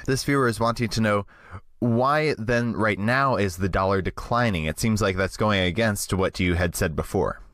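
A man working as an interviewer, putting questions in a measured, orderly way. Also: lowish voice, fairly bright tone, dry recording, clean studio sound.

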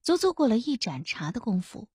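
A woman reading a historical novel as an audiobook narrator, with expressive scripted delivery. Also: a little high, fairly quick, slightly rough, no echo, clean studio sound.

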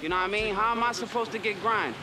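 An angry car owner shouting and cursing at parking officers on a city street. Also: male voice, sits high, quick pace.

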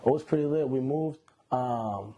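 Young man from Iran, mellow low-key tone, casual storyteller, lapel mic in a room, faint background hiss. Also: moderate pace, dull audio. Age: 20s. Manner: relaxed slangy storytelling, many fillers. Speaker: interview guest. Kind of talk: casual interview. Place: indoor room, seated on a couch.

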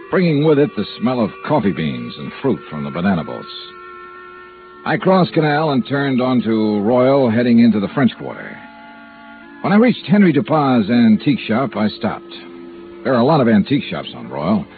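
An American actor playing a dry, persistent insurance investigator who also narrates in old radio detective style. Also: male voice, medium pitch, phone-quality sound. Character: hard-boiled male insurance investigator, dry, persistent, unhurried. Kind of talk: 1950s radio detective acting and first-person narration. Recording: band-limited old radio recording with music.

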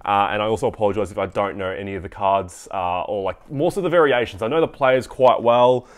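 A man's voice: on the low side, a little fast. A congested, sniffly young man from Australia casually chatting as he opens trading card packs, rambling with fillers and restarts. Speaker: card collecting channel host. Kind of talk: casual box-break commentary. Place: indoor room at a desk.

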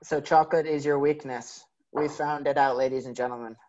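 A man's voice: medium pitch, fairly even intonation, fairly quick, a little mellow, slight background noise. A man hosting an online event, casually putting questions and chatting with his guest. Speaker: host of a virtual fan event. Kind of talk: casual interviewing and chat. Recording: dull, lo-fi call audio.

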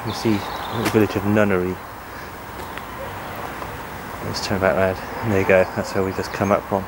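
A man's voice: sits low, level tone, moderate pace. A man giving a casual, musing walking commentary on a handheld camera outdoors. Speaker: amateur film narrator. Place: outdoors on a country path. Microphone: handheld camera microphone. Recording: noisy outdoor camcorder sound.

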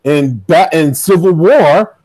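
A middle-aged man co-hosting a casual movie-ranking chat over a video call. He is enthusiastic but halting, with many ums and restarts. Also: fairly high pitch, expressive, a little mellow, no echo. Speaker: co-host. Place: bright room at home. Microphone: earbud headset on a video call.

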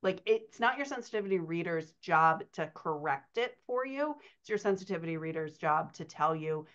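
A woman in her thirties talks shop as a writing coach on a video call, chatty and quick to laugh. Her voice sits fairly low. The call audio is dull and lo-fi. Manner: chatty, laughs often, animated storytelling. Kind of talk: casual podcast chat over video call. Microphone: computer mic on video call.

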